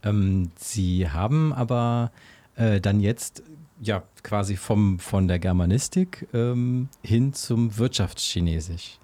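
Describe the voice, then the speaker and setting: A man's voice: lowish voice, slow, mellow, dry recording. A man asking interview questions in a polite, hesitant, tentative way.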